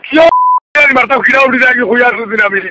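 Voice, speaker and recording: A man's voice: very high voice, steady tone, quick pace, sharp tone, a little hiss or hum. A man with a Sylheti accent arguing on the phone, protesting repeatedly in an exasperated way. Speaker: call recipient. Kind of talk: phone argument. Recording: muffled lo-fi phone call, dry.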